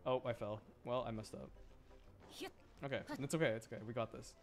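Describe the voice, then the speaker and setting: A man's voice: medium pitch, fairly even intonation, a little fast. A man chatting casually as he livestreams a game, giggly and easily amused as he reacts. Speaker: livestreamer, VTuber host. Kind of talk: casual game stream chat, reacting to play and chat. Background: game music.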